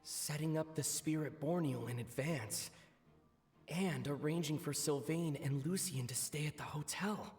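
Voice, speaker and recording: An actor voicing a composed young man in a game, reasoning through a mystery in a deliberate, analytical way. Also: a little high, faint music behind, lightly acted. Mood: serious, deducing. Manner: deliberate, deductive explaining. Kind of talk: scripted game dialogue.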